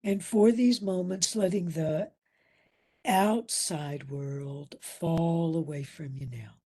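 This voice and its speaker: Very slow, soft, breathy woman guiding meditation. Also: deep voice. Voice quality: soft, breathy, at times near whisper. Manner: soothing, gentle, with long pauses between phrases. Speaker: meditation instructor. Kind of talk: guided meditation.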